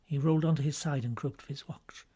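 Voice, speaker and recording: A man's voice: a little high, steady tone, fast, dark tone, slightly rough. A man reads a novel aloud as scripted audiobook narration.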